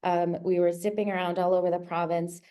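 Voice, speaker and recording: A woman hosting an online launch event, thanking a guest in a relaxed, filler-heavy, chuckling way. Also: lowish voice, fairly quick, clear, resonant tone. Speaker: host.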